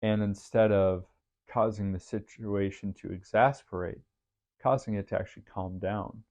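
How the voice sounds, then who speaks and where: Male podcast host, low and deep, earnest and reflective, slightly dull audio. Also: steady tone, normal speed, a little mellow. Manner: earnest, faith-based, thoughtful. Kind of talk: reflective solo podcast monologue.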